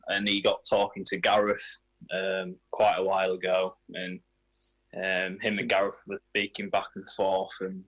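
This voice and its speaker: A man's voice: low-pitched, monotone, measured pace, a bit bright, poor audio. A man in his twenties, a footballer, answering questions in a casual radio interview. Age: 20s.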